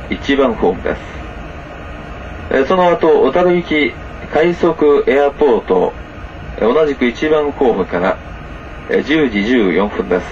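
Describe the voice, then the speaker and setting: Male conductor, formal halting PA announcement, muffled speaker, loud train rumble behind. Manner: formal, halting with many filler pauses. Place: inside a moving sleeper train. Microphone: camera recording the train's PA speaker. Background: train running noise.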